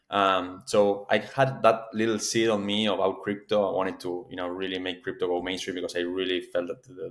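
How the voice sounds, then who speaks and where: A man, a startup founder speaking as a guest in a casual podcast interview and talking in a measured way with many fillers and restarts. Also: deep voice. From Argentina.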